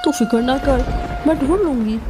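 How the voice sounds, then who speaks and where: A woman's voice: high voice, normal speed, over music, lightly acted. A woman voicing a kind, reassuring neighbour bird in a cartoon, modest and comforting.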